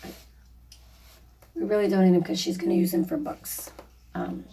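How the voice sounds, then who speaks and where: A mother with a raspy, gravelly, slightly hoarse voice talks slowly while she works, rambling and apologizing as she explains each step. Her tone is mellow and dark. She sounds a little distant from the mic, with faint noise behind her. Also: mid-range voice, flat delivery. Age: adult, a mother. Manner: chatty, apologetic, rambling with fillers. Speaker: DIY vlogger. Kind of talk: casual how-to narration while painting.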